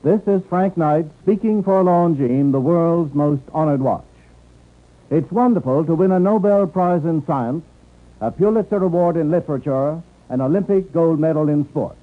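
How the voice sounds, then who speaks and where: A man's voice: fairly high pitch, moderate pace. A man reading a scripted radio advertisement in 1950s announcer style. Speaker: commercial announcer. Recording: tinny vintage radio recording.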